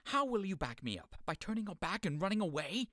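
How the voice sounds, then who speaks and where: A man acts a sharp-tongued young servant in a radio play who teases his friend. His pitch moves around a lot. The sound is dry. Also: sing-song, a little fast, dry recording, heavily acted. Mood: mocking. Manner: teasing, mocking. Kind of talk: audio drama acting.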